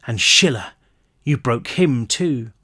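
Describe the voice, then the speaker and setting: A man voicing a mad, broken winged girl with burning eyes, speaking slowly and with eerie detachment. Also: mid-range voice, lively intonation, bright, forceful tone, slightly rough, heavily acted. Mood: eerie, teasing. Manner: slow, teasing, eerily detached.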